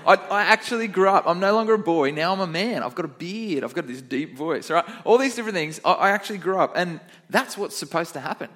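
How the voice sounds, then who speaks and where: A young man with a fairly high voice leads a children's sermon in church. He is upbeat and chatty, and he keeps stopping to quiz the kids in the room. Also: animated, brisk, few pauses, fairly bright tone. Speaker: kids pastor.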